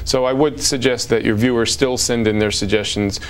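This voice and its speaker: Measured, formal male lawyer. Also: medium pitch, steady tone, slight background noise. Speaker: immigration lawyer. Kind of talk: TV interview.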